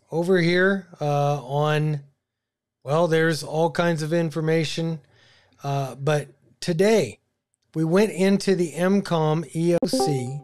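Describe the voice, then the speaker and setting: An American man in his fifties giving a casual, halting explainer to camera as a video host, thinking aloud in an informal way. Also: fairly high pitch, darkish tone. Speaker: ham radio video host. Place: small home radio room, indoors. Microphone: desk broadcast microphone on boom arm.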